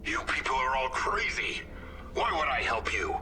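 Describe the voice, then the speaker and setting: A man's voice: mid-range voice, very animated, close to an everyday voice. An actor playing an irritable, grudging male prisoner in a video game, agitated in manner. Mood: grudging irritation. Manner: fast, agitated.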